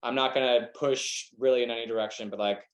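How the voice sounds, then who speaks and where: A man's voice: medium pitch, fast. A young man with a Southern American accent, a wedding photographer chatting casually in a webinar, rambling and filling his talk with "like". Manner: relaxed, rambling, heavy use of "like". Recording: echoey room.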